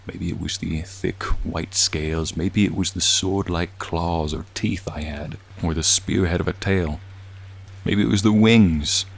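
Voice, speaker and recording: A man voicing a gentle, lonely, centuries-old dragon in a soothing ASMR roleplay, speaking in a reflective, gently formal way. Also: low-pitched, vocal fry, husky, full character voice. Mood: wistful, lonely. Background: rain and raindrops.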